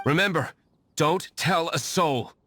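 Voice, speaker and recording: An actor playing a brooding, wealthy vigilante in a video game dub, curt and formal with a dry, disdainful edge. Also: male voice, fairly high pitch, takes it slowly, bright, forceful tone, heavily acted. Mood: commanding. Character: brooding wealthy male vigilante, aloof and stern.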